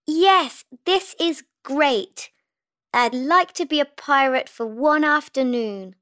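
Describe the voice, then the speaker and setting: A woman's voice: high voice, slow pace, bright, forceful tone, exaggerated voice. A woman voicing a polite, outspoken young girl in a children's story, with a childlike, earnest delivery. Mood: delighted.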